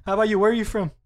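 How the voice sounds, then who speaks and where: A man's voice: high voice, a little hiss or hum. A man in his twenties who grew up in Cuba, talking casually and animatedly, often laughing and restarting his sentences. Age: 20s. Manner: animated, laughs often, repeats words when starting sentences. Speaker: podcast guest. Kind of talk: casual conversation.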